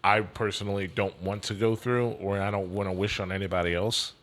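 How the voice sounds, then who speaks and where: A man's voice: lowish voice, level tone, a little fast, a bit bright. A man who hosts a sports radio show, speaking as a guest on a podcast in an easygoing, plain-spoken way. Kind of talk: casual podcast chat.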